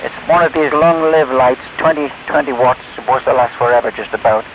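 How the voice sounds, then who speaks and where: A man's voice: mid-range voice, a little fast, narrow, tinny audio. An older man, chatting casually and at length on an amateur radio net, rambling and self-deprecating. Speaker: ham radio operator. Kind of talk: casual on-air radio chat. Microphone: radio transceiver mic heard over the air. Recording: noisy, band-limited shortwave radio hiss.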